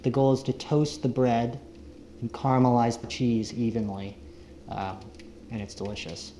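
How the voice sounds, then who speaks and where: Mellow-voiced man vlogging in a roomy space. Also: mid-range voice, flat delivery, moderate pace. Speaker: found-footage vlogger, narrator. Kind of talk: casual camping cooking vlog. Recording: roomy echo, faint background noise.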